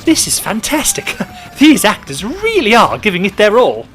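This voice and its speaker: Cheery giddy holiday-goer, voiced by a man, much higher than his own voice, loud and bubbly. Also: huge pitch swings, normal speed, faint music behind, exaggerated voice. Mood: delighted. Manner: gushing, giggly.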